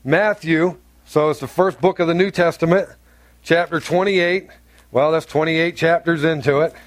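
A man's voice: fairly even intonation, normal speed, no room sound. A man from North Carolina preaching a sermon in a church, blunt and humorous, with chatty asides to the congregation. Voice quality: deep, carrying voice. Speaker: pastor. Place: church sanctuary.

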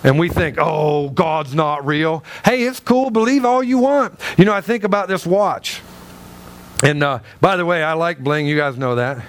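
A man preaching a sermon as pastor, emphatic and animated, with a loud voice that carries, acting out the people in his stories. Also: fairly high pitch, moderate pace. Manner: loud, carrying voice. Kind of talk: sermon with storytelling.

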